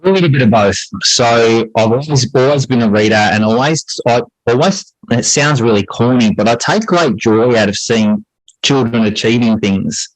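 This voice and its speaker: A man's voice: medium pitch, normal speed, no echo, rough recording. A middle-aged man answering interview questions in a reflective, explanatory way, repeating phrases for emphasis. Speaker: interview guest, high school English teacher.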